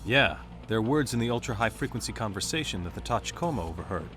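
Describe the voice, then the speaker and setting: A man's voice: on the low side, expressive, fast, a bit bright, soft background music. A man playing an analytical, skeptical special-ops team member in a video game, probing as he reasons aloud. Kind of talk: English dub acting in a video game cutscene.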